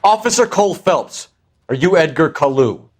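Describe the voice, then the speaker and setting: An actor playing a stern, formal police officer in a video game drama, with a clipped, authoritative manner. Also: male voice, a little high, sing-song, moderate pace, breathy edge. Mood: businesslike.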